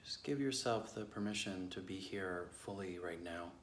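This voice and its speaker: A man leading a guided meditation with gentle phrasing and long pauses. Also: deep voice. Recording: roomy echo, clear background noise.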